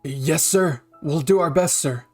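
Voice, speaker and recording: A man's voice: fairly high pitch, unhurried, husky, soft background music, voice pushed up. A man voicing an earnest, intense young male soldier who answers eagerly and respectfully. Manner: eager and respectful, keeps saying sir.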